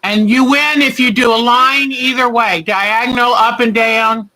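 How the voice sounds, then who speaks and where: Woman host with a big, bright voice, calling each game clue twice at an unhurried pace. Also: fairly high pitch, steady tone. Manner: calls each clue twice, slow and deliberate.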